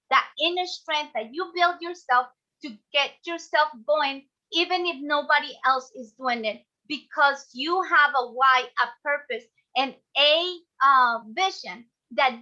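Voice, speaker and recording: Bright, high-voiced Ecuadorian-accented woman presenting. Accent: Ecuadorian Spanish. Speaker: speaker, business coach and class host. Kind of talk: motivational online class presentation. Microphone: video call. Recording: dull, lo-fi online call audio.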